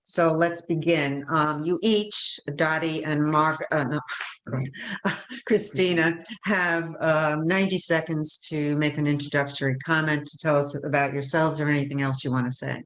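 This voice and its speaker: Low-voiced woman moderating a forum. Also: steady tone, measured pace. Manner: chatty, full of fillers and self-corrections. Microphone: video call.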